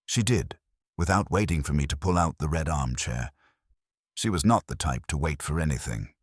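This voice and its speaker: A man's voice: rumbling low, animated, normal speed, breathy edge, no room sound, studio quality. A man narrating a first-person detective story in a wry, hard-boiled way. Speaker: audiobook narrator.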